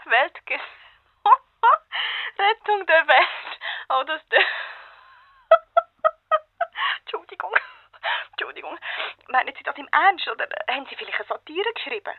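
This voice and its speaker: On a tinny, dry telephone line, a female publishing clerk in a radio play. Her voice is slightly high. She is polite but patronising. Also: big pitch swings, unhurried, no echo. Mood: amused disbelief. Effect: telephone filter.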